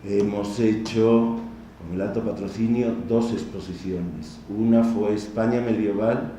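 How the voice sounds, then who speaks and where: A middle-aged man with a Spanish accent lectures in a measured, explanatory way, turning to his listeners now and then. His voice is low and mellow. It is picked up from a distance in a large hall with heavy reverb and a faint hiss underneath. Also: steady tone. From Spain. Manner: measured, explanatory, addresses the audience directly. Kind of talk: conference lecture. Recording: distant, echoey hall recording.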